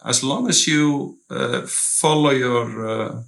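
A middle-aged man from Iceland, a company owner talking as an interview guest in a measured way, with many pauses and restarts. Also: mid-range voice, creaky, a bit bright. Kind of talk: casual interview conversation.

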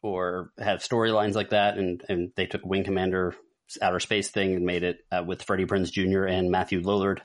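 A man's voice: sits low, level tone, moderate pace. A man co-hosting a podcast, chatting casually and ranking things with rambling asides. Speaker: podcast co-host. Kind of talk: casual chat, film ranking.

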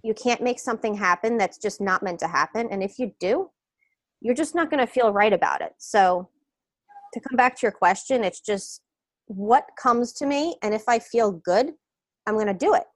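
A woman's voice: medium pitch, moderate pace, no room sound. New woman, coach guest, laid-back and rambling, dry close recording. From New York. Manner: relaxed, meandering, hesitant with fillers. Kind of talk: casual interview.

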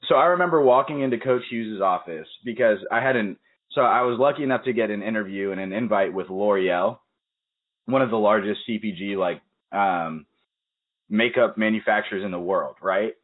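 Casting: young male podcast host, lowish voice, lively storyteller, phone-quality audio. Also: moderate pace. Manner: energetic, storytelling with quoted asides. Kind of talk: casual interview chat. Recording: tinny phone-like call audio.

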